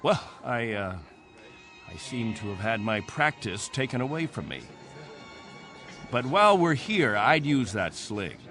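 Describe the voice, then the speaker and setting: Forceful, proud colony captain. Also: male voice, mid-range voice, big pitch swings. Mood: guarded, stubborn. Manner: grave, insistent, halting when moved. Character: proud authoritative colony captain, long-stranded leader reluctant to yield. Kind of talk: dramatic radio acting. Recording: radio drama with faint music behind.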